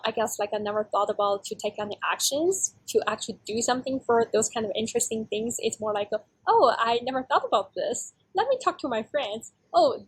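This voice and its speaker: A woman's voice: on the high side, slightly rough, rough recording. A young woman from China, a startup founder speaking English as a second language, talks casually as an interview guest and fills her speech with "like" and "uh". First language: Chinese. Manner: casual, many fillers like "like" and "uh".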